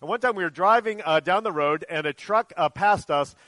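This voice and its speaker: A male pastor speaks to the children of his church, telling a story in a loose, chatty way full of ums and uhs. His voice sits a little high for a man. The sound is dry and close. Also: a little fast, bright, forceful tone, no echo. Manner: casual storytelling, many fillers. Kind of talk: church service sermon to children.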